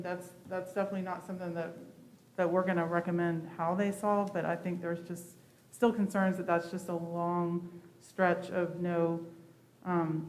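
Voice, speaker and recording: A woman giving an unscripted staff response at a meeting, measured and hedging in her phrasing. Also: lowish voice, darkish tone, poor audio. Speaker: planning staff member. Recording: meeting audio with faint background noise.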